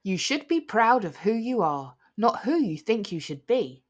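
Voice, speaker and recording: A woman's voice: mid-range voice, very animated, measured pace, dull audio. A woman voicing a kindly old storybook elder, delivering an admonishing speech in a pronounced manner. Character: eldest fart, wise old board leader, kindly lecturing.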